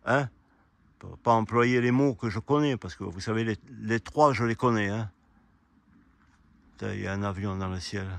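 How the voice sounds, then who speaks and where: A man giving a rambling, argumentative opinion monologue, speaking directly to his listeners in an indignant way and halting to search for words. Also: lowish voice, creaky, a bit bright. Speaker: amateur video commentator. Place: quiet spot outdoors.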